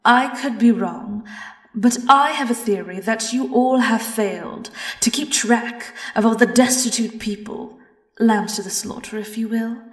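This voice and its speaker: A woman's voice: mid-range voice, lightly acted. A British woman playing a vengeful, silky vampire in an audio horror roleplay, theatrical and taunting, slipping into whispers. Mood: bitter accusation. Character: vengeful female vampire singer, silky, mocking, gloating predator. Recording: roomy, distant, music behind.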